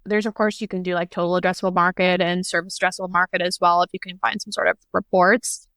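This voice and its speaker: A woman's voice: lowish voice, level tone, fast, fairly bright tone, dry recording. An American woman, around her thirties, answering interview questions about her marketing work in a thoughtful, explaining way. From United States. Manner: thoughtful, explanatory, uses "like" and "if you will". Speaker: guest, head of product marketing.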